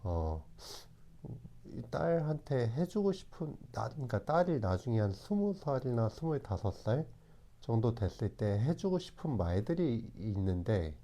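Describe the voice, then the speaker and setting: A man in his forties talking in a calm, reflective way, often pausing and restarting his sentences. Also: medium pitch. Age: 40s. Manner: calm, hesitant, with many restarts. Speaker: channel host. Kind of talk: reflective talk to camera.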